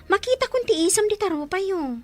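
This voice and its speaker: A woman's voice: extremely high pitch, animated, a little fast, a little mellow, faint music behind. A woman playing a cheerful grown child in an Ilocano audio drama, with an Ilocano accent. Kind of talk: Ilocano radio drama acting.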